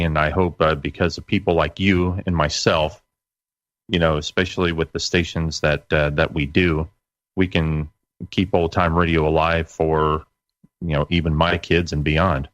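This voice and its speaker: A middle-aged man chatting as an interview guest in a halting, conversational way, on a slightly muffled recording. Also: low-pitched, a bit bright, dry recording. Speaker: interview guest, internet radio station operator. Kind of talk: casual interview chat.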